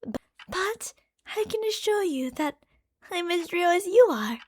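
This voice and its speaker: A woman's voice: very high voice, big pitch swings, a little slow, a little mellow, full character voice. A woman playing an obsessive, lovestruck stalker from a parallel universe in an ASMR roleplay, coaxing and explaining to the listener with fond pet names and theatrical emphasis. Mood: teasing, assured.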